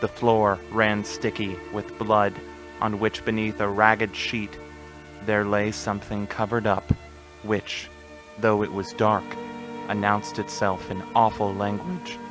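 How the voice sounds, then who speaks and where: A man's voice: lowish voice, flat delivery, takes it slowly, low-quality recording. A man narrating a scripted radio play in a solemn, literary storytelling manner. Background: music.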